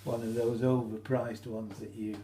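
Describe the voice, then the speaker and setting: A British man giving an informal, halting how-to demonstration, explaining each step as he goes. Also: mid-range voice, flat delivery, mellow. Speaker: hobbyist demonstrator. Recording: roomy echo, faint hum.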